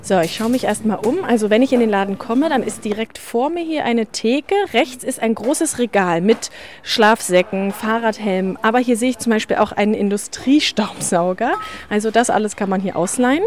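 A woman's voice: a little high, normal speed, slight background noise. A female reporter describing a shop on site and asking questions. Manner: descriptive, walking through a shop. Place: inside a shop.

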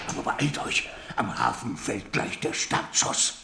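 An actor playing a grumpy, gullible dungeon keeper in a children's radio play, groaning and easily baffled. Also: male voice, lowish voice, big pitch swings, slow pace, vocal fry, exaggerated voice. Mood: won over. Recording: echoey dungeon ambience with faint music.

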